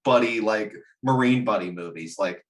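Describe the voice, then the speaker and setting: A man's voice: fairly low pitch, normal speed. A man chatting casually as a panelist in a film discussion, ranting bluntly and swearing freely. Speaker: podcast panelist.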